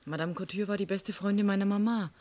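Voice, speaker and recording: A woman's voice: mid-range voice, fairly even intonation, fast talker, darkish tone, dry recording, close to an everyday voice. Actress as a polite, inquisitive young woman, dry sound. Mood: polite, probing. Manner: polite, probing. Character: inquisitive young woman quietly investigating a death. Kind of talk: radio drama acting.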